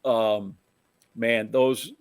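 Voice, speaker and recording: An American man co-hosting a sports show, telling stories in a casual, halting way and often interrupting himself. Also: mid-range voice, steady tone, smooth, no room sound. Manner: conversational, halting, self-interrupting storytelling.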